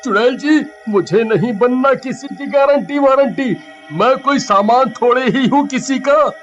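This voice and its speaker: A man's voice: very high-pitched, unhurried, highs cut off, faint music behind, pitched above the performer's own voice. A man voicing a nervous, indignant village friend who whines and protests in a cartoon story. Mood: indignant panic. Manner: whining, protesting.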